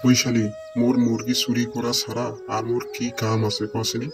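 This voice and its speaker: A man's voice: mid-range voice, monotone, normal speed, music in the background, lightly acted. A man playing a sly, quarrelsome chicken-thief fox in a village cartoon, talking in a rustic northern Bangladeshi accent. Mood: defiant. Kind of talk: Bengali village cartoon voice acting.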